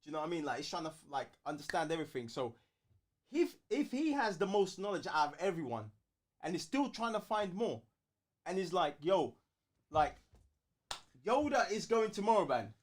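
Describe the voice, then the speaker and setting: A man's voice: sits high, very animated, moderate pace. A man with a London accent chatting casually as a co-host, using slang and repeating words as he builds a point. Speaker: co-host of a fan talk show. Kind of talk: casual chat.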